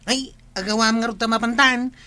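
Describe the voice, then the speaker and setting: A man's voice: very high-pitched, steady tone, moderate pace, very noisy. A man playing an eager village farmhand in a comedy radio drama, speaking with an Ilocano accent. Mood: insistent.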